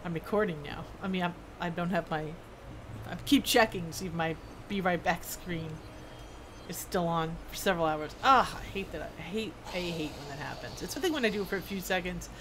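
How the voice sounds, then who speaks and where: A woman's voice: on the low side, fairly quick, background noise. A woman streaming a game, thinking aloud in a casual, rambling, self-deprecating way. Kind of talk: casual live gameplay commentary, thinking aloud.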